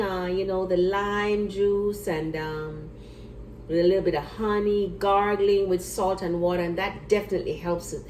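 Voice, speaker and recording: An older woman with a fairly low voice talks in an easy, chatty way, going over each step and circling back to repeat herself. She is recorded at home in an echoey room, with a faint hiss underneath. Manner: relaxed and chatty, repeats herself and walks through steps. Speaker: retired nurse sharing a home remedy. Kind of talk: casual home demonstration video.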